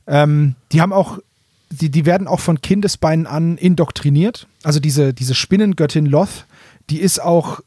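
A man's voice: on the high side, moderate pace, dark tone, breathy edge, no echo, studio quality. A man co-hosting a podcast, explaining casually and repeating words for emphasis. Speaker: podcast co-host.

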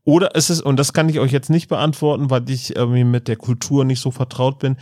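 A man's voice: mid-range voice, little pitch movement, a little fast, a little mellow, dry recording, clean studio sound. A man in a casual podcast chat, musing and thinking aloud, often restarting his sentences. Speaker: podcast co-host.

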